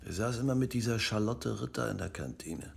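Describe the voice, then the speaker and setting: Gravelly, hearty police comrade. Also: male voice, fairly low pitch, brisk, darkish tone, exaggerated voice. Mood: subdued, reflective. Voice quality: gravelly, husky. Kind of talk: radio drama acting.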